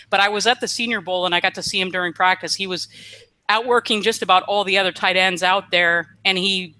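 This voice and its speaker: A woman in her fifties from Vermont, chatting as a guest on a video call from home, animated and quick to laugh. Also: mid-range voice. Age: 50s. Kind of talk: casual chat. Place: home room, bare walls.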